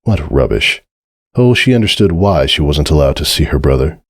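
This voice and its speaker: A man's voice: extremely low pitch, lively intonation, normal speed, dry recording, studio quality. A man reading a scripted story as narrator, in a dramatic storytelling style.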